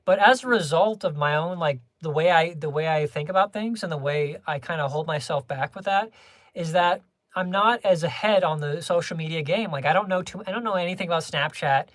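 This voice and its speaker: A young adult livestreamer chatting casually with viewers, rambling through many restarts and small laughs in a low-key, homebody way. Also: fairly quick, fairly bright tone, no room sound.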